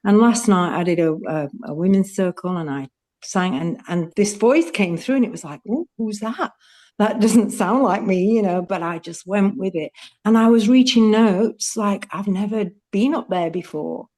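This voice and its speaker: A woman's voice: medium pitch, moderate pace, a little mellow. A middle-aged woman, a student, speaking heartfelt and rambling on a video call. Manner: heartfelt, rambling, laughs easily. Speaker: student and participant. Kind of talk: casual testimonial.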